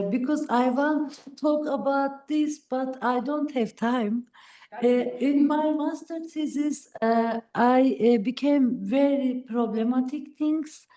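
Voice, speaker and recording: Woman lecturing, slow and halting, mellow voice, echoey hall. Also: high-pitched. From Turkey. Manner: halting, hesitant, many pauses searching for words. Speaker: guest lecturer. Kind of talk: academic lecture and Q&A answer.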